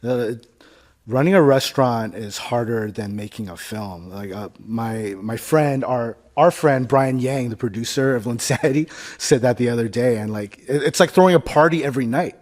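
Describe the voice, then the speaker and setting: A man's voice: medium pitch, moderate pace, a little mellow. A man hosting a casual interview, laid-back and joking, full of filler words. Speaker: podcast host.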